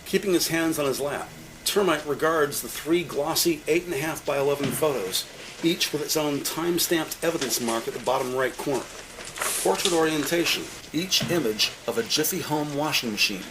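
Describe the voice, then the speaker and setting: A man reading scripted, deliberate and detailed narration for an audio-described police drama. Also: on the high side, background noise.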